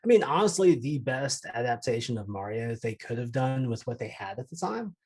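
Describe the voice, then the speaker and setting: A man's voice: medium pitch, sing-song, fairly quick, a little mellow, breathy edge. A man in a casual podcast chat, speaking with wry sarcasm. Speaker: podcast panelist.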